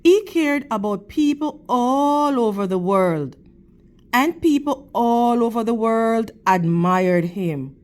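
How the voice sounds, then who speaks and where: A woman reading a picture book aloud to children like a teacher, in deliberate, measured phrases. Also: on the high side, expressive, darkish tone. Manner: deliberate, measured phrasing with questions and praise addressed to young listeners.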